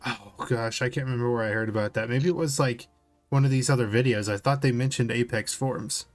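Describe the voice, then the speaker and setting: A man's voice: mid-range voice, fairly quick, faint music behind. A man co-hosting a podcast, reacting casually and musing aloud in informal, tentative phrases. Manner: informal, musing, hedges with "like" and "I wonder". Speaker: podcast co-host.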